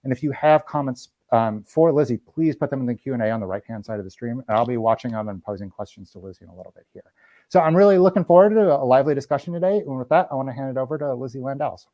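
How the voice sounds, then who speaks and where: A man moderating an online panel, reading prepared remarks in a measured, lightly formal way with occasional fillers. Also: mid-range voice, animated, no echo, lo-fi sound. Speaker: moderator of a webinar.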